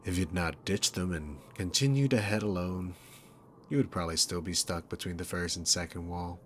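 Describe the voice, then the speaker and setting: A man's voice: low-pitched, a little hiss or hum, natural voice. A man playing a smug, sarcastic, dominant demon king villain in an ASMR roleplay, mocking and condescending as he addresses the listener. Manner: mocking, condescending, unhurried, punctuated by chuckles.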